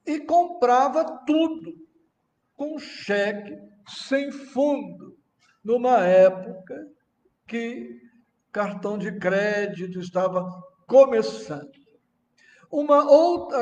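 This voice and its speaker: Reverberant and far from the microphone, a Brazilian man delivers a religious lecture. His voice sits high for a man, and he moves very slowly, setting out short, emphatic phrases in a deliberate oratorical manner. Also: a little mellow. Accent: Brazilian Portuguese. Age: middle-aged or older. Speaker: Spiritist lecturer. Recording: echoey reverberant room, distant microphone.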